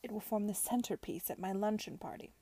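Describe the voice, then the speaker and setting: A condescending, self-satisfied old lady of a period storybook, played by a woman reader. She is pompous and theatrical, patronising whoever she addresses. The voice is husky and mellow in a dry recording. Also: mid-range voice, fairly quick, no echo, close to an everyday voice. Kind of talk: audiobook character dialogue.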